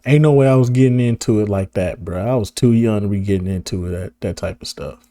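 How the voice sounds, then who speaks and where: A hoarse man of about thirty, talking casually to camera in a rambling, slang-heavy way and laughing often. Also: lowish voice, quick pace, dark tone, dry recording. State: hoarse, losing his voice. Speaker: video creator. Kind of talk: casual reminiscing monologue to camera.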